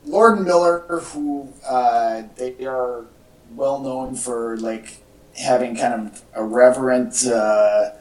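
A man talking casually as a podcast co-host, in a halting, conversational way. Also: mid-range voice. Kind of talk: casual chat about movie news. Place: indoor room with echo. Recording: echoey room, distant from mic.

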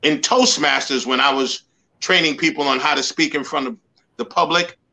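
A man's voice: on the high side, steady tone, moderate pace, vocal fry, pushed and bright, low-quality recording. A man in his sixties from the Bronx, a motivational speaker telling his story earnestly in an interview. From New York, the Bronx. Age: 60s. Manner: earnest, emphatic storytelling with repeated slogans. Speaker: guest, motivational speaker and life coach. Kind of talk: interview conversation.

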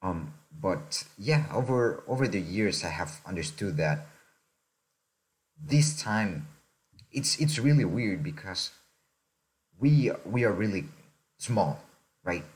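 Very deep-voiced young man. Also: expressive. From Mexico. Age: young adult. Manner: reflective, unhurried, often tags "right?". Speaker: podcast co-host. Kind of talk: casual podcast chat.

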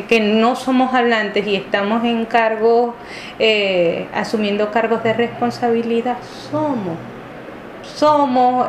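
A woman from Venezuela answering interview questions thoughtfully, hesitating often, with many fillers and restarts. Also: mid-range voice. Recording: echoey room with clear background noise.

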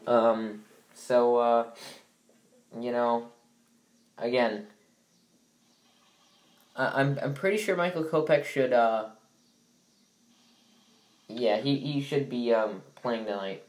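A man's voice: mid-range voice, slow, pauses often, a little mellow. A young man hosting a casual sports podcast, sounding stuffy from allergies and rambling with many fillers and restarts. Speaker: podcast host.

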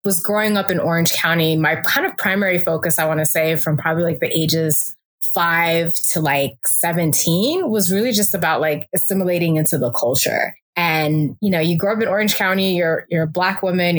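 A woman's voice: fairly low pitch, normal speed. A woman from California with an American accent, telling her story casually as a podcast guest. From California, born in DR Congo.

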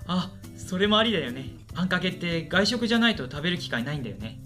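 Radio drama student played by a man: shy but chatty, earnest young guy, higher-pitched and crisply bright, guitar music behind. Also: big pitch swings, fast. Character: shy lonely male university student, eager and chatty. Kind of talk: radio drama dialogue and first-person narration.

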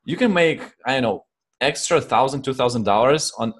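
A man with a Ukrainian accent is answering as a guest in an interview. His voice sits at a middle pitch, and he speaks reflectively, restarting sentences as he searches for words. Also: expressive, normal speed. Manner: reflective, with frequent restarts and rephrasing.

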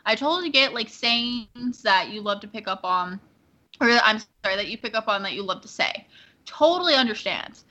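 A woman's voice: a little high, expressive, normal speed, sharp tone, lo-fi sound. A woman co-hosting a livestream podcast, bantering casually and teasing her co-host.

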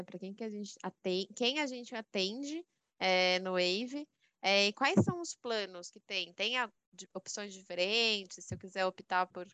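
Podcast hostess, smooth clear voice, dry close sound, friendly curious questions. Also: female voice, medium pitch, sharp tone, no echo. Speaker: podcast host. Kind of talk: podcast interview.

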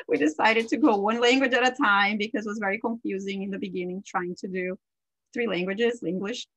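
A woman whose first language is Portuguese, co-hosting a podcast in casual chat, talking freely with many fillers and quick laughs. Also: mid-range voice, fairly bright tone, no room sound, low-quality recording. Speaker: podcast co-host.

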